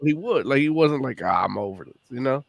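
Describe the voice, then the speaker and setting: Relaxed, halting man on a dry mic. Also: mid-range voice, creaky, dry recording. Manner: relaxed, halting, many fillers. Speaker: podcast co-host. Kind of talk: casual chat.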